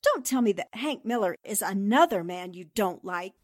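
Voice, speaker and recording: A woman's voice: mid-range voice, expressive, normal speed, close to an everyday voice. An actress playing a spirited, earnest newspaperwoman heroine in a theatrical 1940s-style radio drama. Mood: breezy. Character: spirited, indignant female newspaper columnist heroine.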